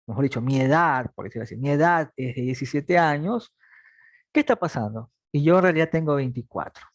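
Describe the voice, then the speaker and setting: A man's voice: a little high, dry recording. A man in his twenties teaching a math logic class, patiently asking students questions and then answering them himself. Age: 20s. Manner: repeats points, talks plainly to students. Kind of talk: online class lecture.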